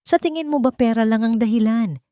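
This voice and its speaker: A woman's voice: a little high, fairly quick, mellow, dry recording, studio quality, voice pushed up, lightly acted. A woman voicing a villainous male lawyer in an audio story, agitated, threatening and gloating. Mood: bitter gloating. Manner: menacing, agitated.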